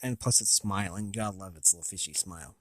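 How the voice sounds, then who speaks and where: An American man hosting a casual, unscripted review, thinking aloud in a halting, rambling way with a slightly raspy voice. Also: sits low, sharp tone, no room sound, studio quality. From United States. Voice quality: slightly raspy from long talking. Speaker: video host.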